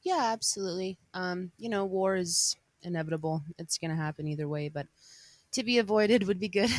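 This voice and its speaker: A young woman, born in Bosnia and raised in Colorado, chatting casually as a podcast guest, relaxed and hesitant with many ums. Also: fairly low pitch, moderate pace, dry recording. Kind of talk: casual conversation.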